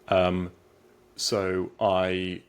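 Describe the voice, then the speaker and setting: A man's voice: low voice, monotone, extremely slow, clear, resonant tone. An Australian man as an interview guest, talking in a reflective way with many restarts and fillers. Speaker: guest, psychiatric trainee. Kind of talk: interview answers.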